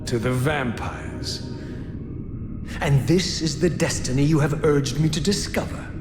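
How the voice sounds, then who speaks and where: An actor playing a proud, defiant, bitter wanderer in a video game, speaking in a formal, sardonic, theatrical way. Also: male voice, fairly high pitch, measured pace, close to an everyday voice. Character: Raziel, proud, defiant, bitter inhuman wanderer seeking truth. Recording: echoing hall, music behind.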